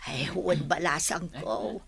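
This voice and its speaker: A gravelly, forceful older mother as a woman acts her out in an audio drama. She is plain-spoken and full of complaint. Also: on the low side, takes it slowly, exaggerated voice. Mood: complaining.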